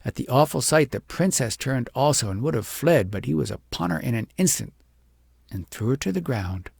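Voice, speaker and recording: A man narrates a storybook with a heavily gravelled, husky voice. His delivery is measured and expressive, as an audiobook reader's is. The sound is dry, with very little room to it. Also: medium pitch, no room sound.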